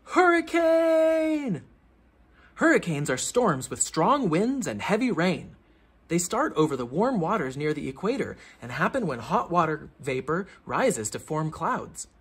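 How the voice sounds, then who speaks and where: Expressive man reading aloud, husky and high-pitched. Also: a little slow. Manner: theatrical emphasis on headings, clear explanatory phrasing. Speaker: children's book reader, teacher. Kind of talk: expressive read-aloud of a children's science book.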